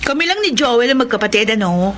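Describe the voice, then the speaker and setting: A woman's voice: on the high side, big pitch swings, a little fast, background noise. A woman playing a spoiled, hostile young daughter of a rich family in a Filipino radio drama. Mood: haughty, scolding.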